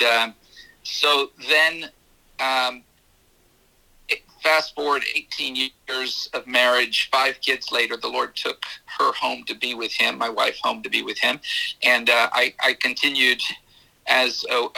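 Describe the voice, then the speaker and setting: A middle-aged man tells his story to an interviewer in a gravelly voice. His tone is bright, and he stops and restarts often. It sounds like a radio broadcast. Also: medium pitch, poor audio. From United States. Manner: halting, many fillers and restarts. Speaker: guest, ministry leader. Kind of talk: testimony interview.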